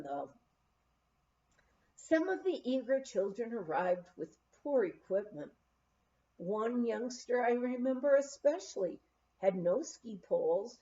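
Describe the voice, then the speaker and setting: A woman's voice: fairly high pitch, dark tone. A woman reading a memoir aloud as an audiobook narrator, on a slightly dull recording. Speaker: audiobook reader.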